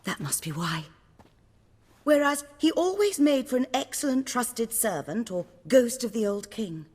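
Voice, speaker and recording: Theatrical genteel lady, swooping husky voice. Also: fairly high pitch, unhurried, full character voice. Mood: gracious, playful. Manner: expressive, courtly, wordy. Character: genteel, theatrical woman, gracious and playful. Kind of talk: video game dialogue. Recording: long hall-like reverb.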